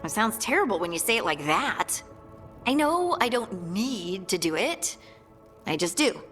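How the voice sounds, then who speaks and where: A woman's voice: medium pitch, big pitch swings, unhurried, fairly bright tone, long reverb tail, faint music behind. An actress playing an eager-to-please young dwarven woman in a video game, speaking hesitantly and apologetically. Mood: awkward, apologetic. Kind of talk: video game cutscene acting.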